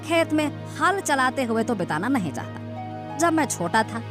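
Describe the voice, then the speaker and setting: Woman acting lazy young village son, high animated voice, cocky, dismissive, cartoon dialogue, music behind. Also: unhurried. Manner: boastful, dismissive. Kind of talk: animated moral story dialogue. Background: background music.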